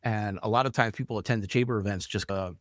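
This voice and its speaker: A man's voice: lowish voice, steady tone, quick pace, no room sound, studio quality. A man hosting a podcast, talking casually, restarting his words, and asking guests follow-up questions. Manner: conversational, repeats words, asks follow-up questions. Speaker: podcast host.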